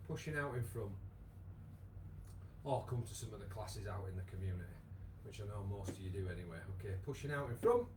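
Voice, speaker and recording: A man with a croaky voice, leading a live online exercise class with many fillers. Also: fairly low pitch, lively intonation, fairly quick, background noise. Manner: chatty, encouraging, lots of fillers. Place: home room with wall and bar. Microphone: device camera, moves away at times.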